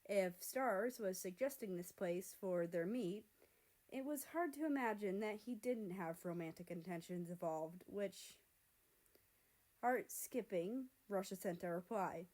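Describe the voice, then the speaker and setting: Young female story reader, average pitch, scripted fiction narration. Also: animated, a little slow, darkish tone.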